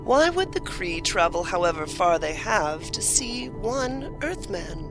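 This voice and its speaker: A woman playing the solemn, wry narrator of an anthology of strange tales, with a deliberate, theatrical delivery. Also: lowish voice, big pitch swings. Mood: solemn, intriguing. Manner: deliberate, dramatic. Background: music.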